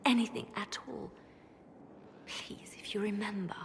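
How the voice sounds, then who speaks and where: An actress playing a grieving, devout spymaster in a video game, speaking haltingly in a breathy voice that often drops near a whisper. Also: female voice, mid-range voice, fairly even intonation, in a large hall. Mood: wounded, pleading. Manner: halting, deliberate. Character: grieving, devout female spymaster, subdued and searching. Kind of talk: dramatic video game voice acting.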